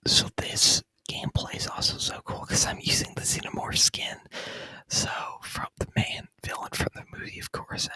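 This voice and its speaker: A man speaking in a breathy, unvoiced whisper close to the microphone, chatting in an informal, rambling, enthusiastic ASMR review style. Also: extremely high pitch, pushed and bright, no echo, poor audio. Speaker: ASMR video creator and gamer. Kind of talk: whispered ASMR movie review, casual chat. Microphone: close microphone, dry sound.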